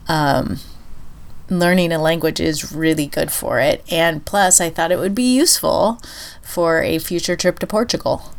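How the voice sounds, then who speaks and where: Lady podcaster w a stuffy nose, lowish voice, lots of ums n pauses, just chatting. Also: gravelly. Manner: thinks aloud with many pauses and fillers. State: nasal from a cold. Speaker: podcast co-host. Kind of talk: casual chat.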